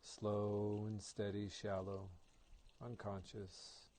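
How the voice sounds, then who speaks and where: A man leading a guided meditation as an instructor, speaking in a calm, gentle and soothing manner. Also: fairly low pitch, slow.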